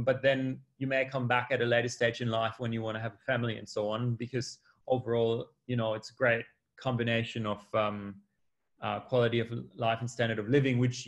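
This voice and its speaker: A man in his thirties explaining things in a measured way as a panelist on a video call. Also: medium pitch. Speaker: panelist, consultant.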